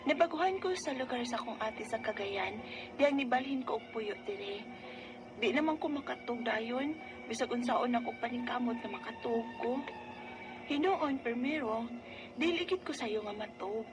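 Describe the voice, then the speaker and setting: A woman's voice: fairly high pitch, expressive, normal speed, over music, natural voice. A woman playing an anxious, plaintive young Filipino woman with a Bisaya accent in a radio drama. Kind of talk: Filipino radio drama acting with first-person narration.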